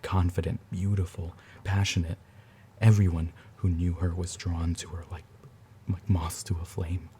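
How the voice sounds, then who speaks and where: A man playing a grieving older brother who speaks quietly and haltingly. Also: sits low, level tone, a little hiss or hum, close to an everyday voice. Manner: halting, quiet, restarts under strain.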